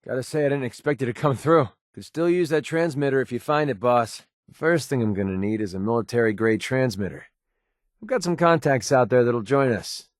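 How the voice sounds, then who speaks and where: An actor playing a cynical, wisecracking young mercenary in a video game, with a sardonic, casual, streetwise delivery. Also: male voice, mid-range voice, animated, a little fast, no room sound, studio quality, natural voice. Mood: businesslike. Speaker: video game companion character. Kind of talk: video game voice acting, short scripted barks.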